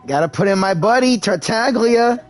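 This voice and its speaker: A man's voice: sits high, lively intonation, normal speed, soft background music. A man doing livestream game commentary and voicing along as a character. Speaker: game streamer.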